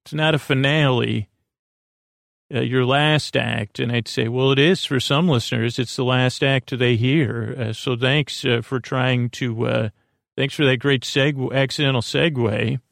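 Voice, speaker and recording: Male podcast host, creaky and lulling, wandering and self-interrupting delivery, very dry recording over faint music. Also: mid-range voice, steady tone, no echo. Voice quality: creaky, croaky. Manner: meandering, halting, stammering, self-interrupting; soothing, lulling. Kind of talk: rambling bedtime podcast monologue.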